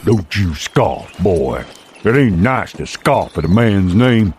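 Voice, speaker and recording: A man's voice: sits low, sing-song, slow, darkish tone, slight background noise, exaggerated voice. An actor playing a grizzled, mischievous old mountain man with an American frontier drawl in a western audio drama, folksy, blustery and teasing.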